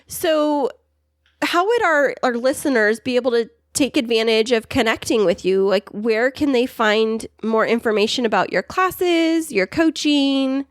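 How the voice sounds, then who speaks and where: Upbeat higher-pitched podcast co-host woman. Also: animated, measured pace, no room sound, studio quality. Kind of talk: casual podcast chat.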